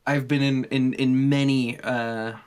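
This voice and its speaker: A man in casual chat, talking haltingly with many fillers and self-deprecating asides. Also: medium pitch, little pitch movement, clean tone.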